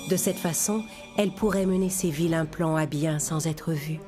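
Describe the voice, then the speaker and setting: A woman's voice: sits low, moderate pace, over music. A woman narrating a scripted bedtime story for young children.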